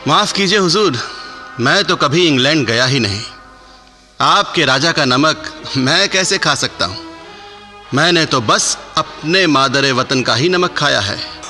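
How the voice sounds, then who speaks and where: An actor in a radio play voicing a proud, defiant young Indian soldier, declaiming with deliberate, impassioned patriotism. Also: male voice, on the high side, animated, pushed and bright, full character voice. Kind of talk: radio drama acting. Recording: echoing hall, music underneath, radio play.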